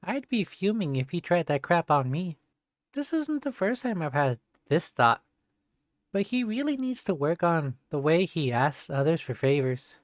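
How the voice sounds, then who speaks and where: A game streamer reading a visual novel aloud in an expressive narrating style. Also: mid-range voice, measured pace, no room sound, clean studio sound. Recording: game music behind the voice.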